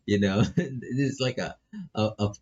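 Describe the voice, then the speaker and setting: A man's voice: lowish voice, slow pace, a little mellow, lo-fi sound. A man doing laid-back, casual live commentary on a visual novel, reading text aloud and swearing freely as he reacts.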